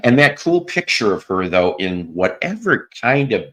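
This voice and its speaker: A man hosting a casual chat show, telling a long story in a relaxing voice, rambling with pauses and restarts. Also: medium pitch, big pitch swings, a bit bright. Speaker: host.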